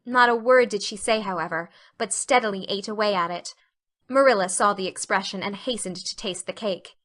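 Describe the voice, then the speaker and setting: Bright-voiced woman narrating a story. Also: mid-range voice, fairly quick. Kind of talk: scripted audiobook narration.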